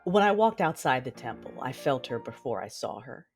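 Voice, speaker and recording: A woman's voice: very deep voice, very animated, moderate pace, soft background music, heavily acted. A woman playing a battle-hardened, stoic warrior mother, narrating an audio drama in a grave, restrained manner. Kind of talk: first-person dramatic narration.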